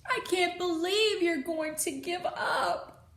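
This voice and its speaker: A woman's voice: very high-pitched, takes it slowly, a bit bright, pitched above the performer's own voice, full character voice. A woman voicing a grieving, headstrong young girl in a children's story, emotional and insistent. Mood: defiant. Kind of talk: children's audiobook character acting. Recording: roomy sound with faint noise.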